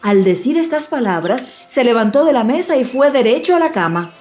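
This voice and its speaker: A woman storyteller giving an expressive, measured narration of a dramatized fairy-tale audiobook. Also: fairly high pitch. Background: music.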